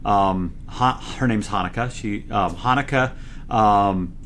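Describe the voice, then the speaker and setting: A man with a somewhat low voice, talking as a guest engineer in a relaxed interview. He gets excited and his voice rises in loudness as he explains, stumbling over restarts. A faint hiss sits under the recording. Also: takes it slowly. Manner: frequent fillers and restarts. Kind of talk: conversational interview explaining products.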